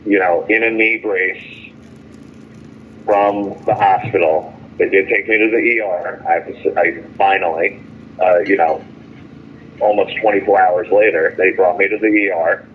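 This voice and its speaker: An indignant man speaking off the cuff over the phone, halting and repeating himself as he airs grievances in an emphatic way. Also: deep voice, fairly even intonation. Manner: halting, repetitive, with stumbling restarts; indignant, emphatic storytelling with quoted dialogue. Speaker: detainee calling in from jail. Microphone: telephone. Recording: tinny, noisy phone line.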